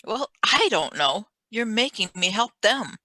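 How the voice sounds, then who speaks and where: A woman's voice: medium pitch, sing-song, measured pace, sharp tone, no echo, full character voice. A woman playing a scheming lab assistant, controlled and matter-of-fact, in a radio play reading. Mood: conspiratorial. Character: cool, scheming female lab assistant and conspirator.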